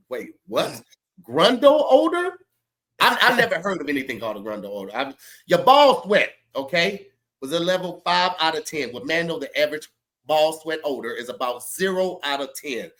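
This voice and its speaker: A man co-hosting a podcast, stuffy with a cold, talking in a loose, joking way and reading an ad with drawn-out emphasis. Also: fairly high pitch, a bit bright. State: stuffy, congested. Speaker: podcast co-host. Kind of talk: casual chat and read ad.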